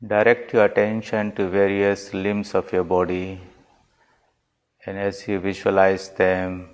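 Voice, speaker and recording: Slow, low-voiced man guiding meditation. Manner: calm, measured, deliberate phrasing. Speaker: swami leading guided meditation. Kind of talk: slow guided meditation instruction.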